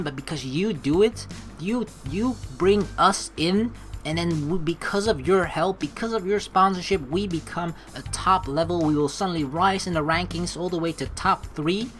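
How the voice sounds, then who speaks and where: A woman's voice: medium pitch, moderate pace. A woman doing casual let's play commentary, dramatic and sweary, reading game text aloud and improvising replies. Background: game music.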